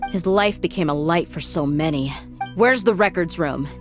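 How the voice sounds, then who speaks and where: A woman's voice: on the low side, moderate pace, soft background music, close to an everyday voice. Woman playing a firm, tough mercenary heroine. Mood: stern, threatening. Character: tough, resolute female mercenary warrior.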